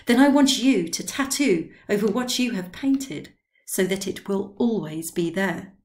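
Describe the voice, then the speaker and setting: A woman voicing an excitable, drunken old man who talks eagerly and loudly in a read-aloud story. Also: unhurried, natural voice. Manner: eager, pleading, high and loud.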